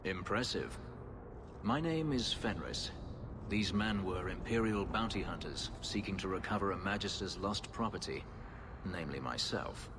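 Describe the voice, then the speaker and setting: Deep-voiced brooding elf fugitive. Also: male voice, lively intonation, noisy background. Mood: measured, formal. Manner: formal, measured, stern. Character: proud, brooding male elf fugitive, escaped slave. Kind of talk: video game cutscene acting. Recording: music under the voice.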